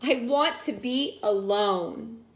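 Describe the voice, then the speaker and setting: A woman's voice: a little high, slow, echoey room, voice pushed down. Carumba, a woman as a timid young tomcat. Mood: glum. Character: Carumba, timid young male cat who cannot fly.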